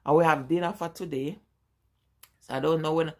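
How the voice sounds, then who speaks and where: Woman, Jamaican accent, forties, somewhat low-pitched, emphatic, repeats herself, casual live-stream chat. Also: steady tone, normal speed. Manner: emphatic and repetitive, talking straight to viewers. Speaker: vlogger.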